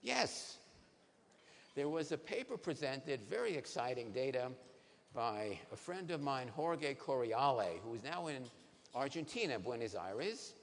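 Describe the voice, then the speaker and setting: A man in his sixties, a neurologist, giving a lively science lecture to patients on a conference stage, asking the audience questions. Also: medium pitch, measured pace. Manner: animated, asks the audience questions. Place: large conference hall stage. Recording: reverberant hall, faint noise.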